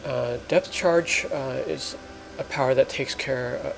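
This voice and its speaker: A man giving halting, explanatory let's play commentary as host of a gaming video. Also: mid-range voice, vocal fry, over music, poor audio.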